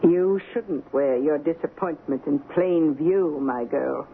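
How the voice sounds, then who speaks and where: A woman's voice: sits low, takes it slowly. An actress playing a fearless, haughty woman in her eighties, blunt and lecturing, in an old radio drama with a tinny, noisy sound. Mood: haughty, challenging. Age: 80s. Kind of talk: 1970s radio drama acting.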